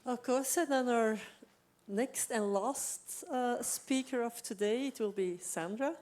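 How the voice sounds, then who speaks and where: A woman's voice: a little high, slow pace, crowd noise, noisy background. Woman, event organizer, hesitant introduction with fillers.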